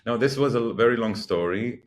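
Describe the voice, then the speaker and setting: A man in his forties from Iraq, a podcast guest speaking in a measured, hesitant way with many fillers and restarts. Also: mid-range voice, slight background noise. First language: English learned first, also Arabic. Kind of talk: reflective interview talk.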